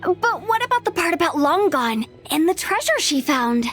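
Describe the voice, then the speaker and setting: A woman playing a playful, mischievous teenage girl with a teasing, animated manner in an anime video game. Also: extremely high pitch, normal speed, faint music behind, full character voice. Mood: spooky speculation.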